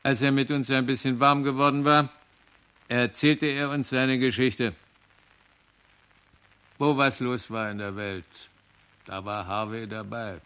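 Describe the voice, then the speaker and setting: A sober seaman witness reports in a radio drama. The voice is smooth, resonant and of middle pitch. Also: little pitch movement, a little slow, long pauses, a bit bright. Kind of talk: radio play testimony.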